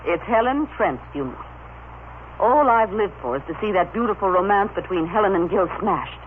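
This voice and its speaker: A woman playing a cold, calculating, sharp-tongued society wife in an old-time radio soap opera. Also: mid-range voice, expressive, normal speed, lightly acted. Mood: gloating. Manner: haughty, sharp-tongued, imperious. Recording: scratchy, narrow-band old radio recording.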